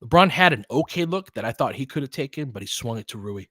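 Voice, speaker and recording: A man's voice: medium pitch, lively intonation, fast talker, a bit bright, dry recording, clean studio sound. A man giving informal live basketball play-by-play as a fan-facing host, opinionated and conversational, in clipped phrases.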